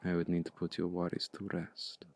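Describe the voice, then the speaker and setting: A man playing a seductive, domineering vampire hypnotist in an intimate ASMR roleplay, speaking in a hushed, deliberate, breathy voice that often slips toward a whisper. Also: monotone, a little hiss or hum. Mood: commanding, hungry. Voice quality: low, breathy, gravelly, often slipping into whisper. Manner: deliberate, commanding, coaxing with long pauses.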